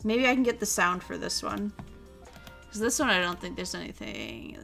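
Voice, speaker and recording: A woman's voice: mid-range voice, very animated, moderate pace, gravelly, sharp tone, faint music behind. A young woman streaming a casual chat, animatedly retelling stories, laughing often and answering her chat. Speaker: VTuber streamer.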